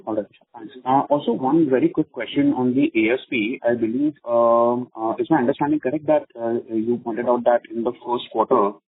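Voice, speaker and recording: A man's voice: mid-range voice, slightly rough, dry recording. A man with an Indian accent asking questions as an analyst on an earnings call, hesitant and repeating his words. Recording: dry, narrow-band phone line.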